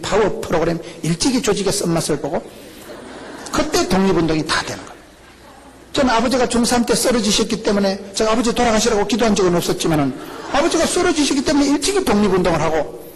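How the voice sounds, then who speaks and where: A middle-aged male pastor with a Gyeongsang accent, preaching a lively, joking sermon full of rhetorical questions. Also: high-pitched, noisy background. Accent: Gyeongsang Korean. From rural South Korea. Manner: animated, jokey preaching with rhetorical questions and laughs.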